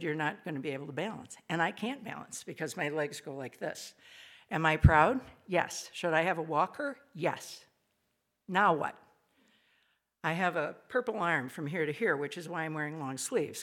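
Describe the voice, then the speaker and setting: An older woman speaks to an audience with a raspy, somewhat low voice. Her delivery is chatty and lively, full of quick asides that poke fun at herself. She sounds like she is in a small old hall. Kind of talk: spoken devotional talk to an audience.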